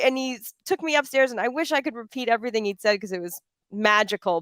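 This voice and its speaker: Woman, panel moderator. Smooth, crisp voice, medium pitch, dry sound, casual and emphatic delivery. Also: a bit bright, no echo. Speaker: panel moderator and audio drama creator. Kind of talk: panel discussion, casual talk.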